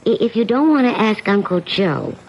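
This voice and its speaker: An actress playing a scheming, two-faced wife in a radio drama, colloquial, by turns impatient and coaxing. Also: female voice, mid-range voice, lively intonation, moderate pace, lightly acted. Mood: prodding. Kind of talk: 1970s radio drama acting. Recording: dull, slightly noisy old radio recording.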